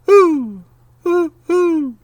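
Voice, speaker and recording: A man imitating a great horned owl with drawn-out, repeated hoots in a storybook reading. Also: extremely high pitch, expressive, no echo, voice pushed up, heavily acted. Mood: loud calling. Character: hooting great horned owl call, loud and high.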